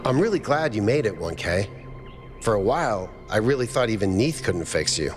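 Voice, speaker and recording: A man acting a gentle, doubtful robot citizen in a video game, earnest and apologetic. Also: fairly low pitch, big pitch swings, normal speed, over music. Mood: regretful.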